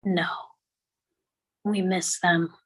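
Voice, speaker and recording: A woman playing a gentle, forgiving, grieving character who consoles in a hushed way, in a dramatic script reading. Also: fairly low pitch, flat delivery, drawn-out words, exaggerated voice. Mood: tender.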